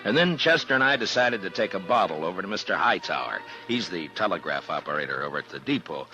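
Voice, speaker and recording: An American actor playing an easygoing, reflective frontier marshal who narrates in an old-time radio western. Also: male voice, low voice, sing-song, fast talker. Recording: muffled old radio recording, music behind.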